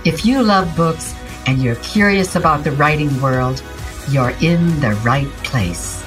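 Low, very expressive woman host. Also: unhurried, soft background music, rough recording. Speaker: author and show co-host.

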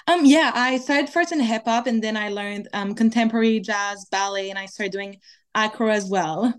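A woman's voice: medium pitch, normal speed, clean tone. A young woman from Quebec whose first language is French, speaking English with a Quebec French accent as an interview guest, chatting casually with lots of "like" and "kinda". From Quebec, Canada. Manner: chatty, many "like" and "kinda" fillers. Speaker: guest, dancer.

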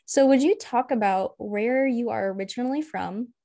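A woman's voice: fairly high pitch, moderate pace, creaky, dark tone. A woman hosting a ranching podcast, talking in a friendly, conversational way with her guest. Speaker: podcast host. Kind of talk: casual podcast interview.

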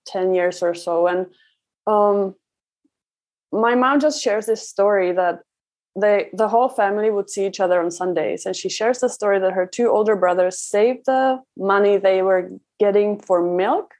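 A woman from the Czech Republic is talking as a guest in an interview. She tells personal stories conversationally, at a middle pitch for a woman. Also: moderate pace, a little mellow. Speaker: parenting coach, interview guest.

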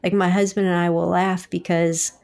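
A woman in her forties hosting a faith-based interview, measured and reflective in how she speaks. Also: fairly low pitch, little pitch movement, creaky, darkish tone. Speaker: host.

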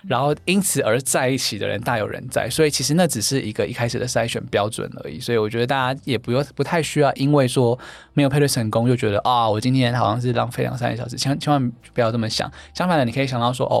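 A man's voice: mid-range voice, quick pace, gravelly, no room sound. A man with a Taiwanese Mandarin accent, a podcast guest, chatting casually and explaining at length with lots of fillers and repeated words. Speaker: guest, founder of a dating event organizer.

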